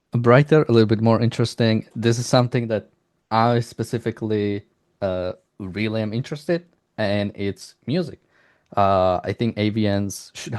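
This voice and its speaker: A man interviewing a developer in a conversational style, with halting, hesitant phrasing. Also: fairly low pitch, darkish tone.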